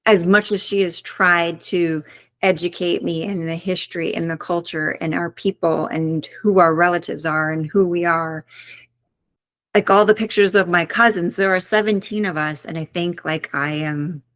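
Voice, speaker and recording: A woman's voice: fairly low pitch, fairly even intonation, moderate pace, fairly bright tone, dry recording. A woman author being interviewed, speaking in a reflective, earnest way as she thinks aloud. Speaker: author guest. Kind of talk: interview conversation.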